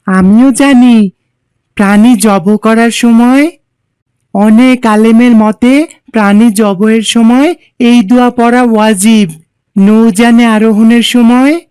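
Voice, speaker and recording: A woman's voice: a little high, fairly even intonation, slow pace, mellow, clean tone, no echo. A woman playing a polite, well-behaved, earnest young boy in a cartoon. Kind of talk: didactic cartoon dialogue.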